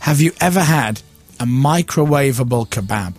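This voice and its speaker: A man's voice: mid-range voice, lively intonation, moderate pace, a little mellow, soft background music. A man hosting a casual chat, teasing and joking with his guest. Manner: teasing, jokey. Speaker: TV host.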